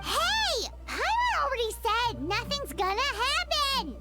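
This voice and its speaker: A woman voicing a tiny, excitable, bossy fairy companion in an anime game, squeaky and childlike. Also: takes it slowly, full character voice. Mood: exasperated. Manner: refers to herself in the third person, exclamatory. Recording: loud game music behind the voice.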